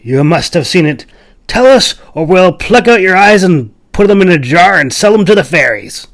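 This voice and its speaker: A man voicing a stout, bearded hunter leader in a fantasy audiobook, loud, demanding and threatening. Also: high-pitched, expressive, moderate pace, voice pushed up.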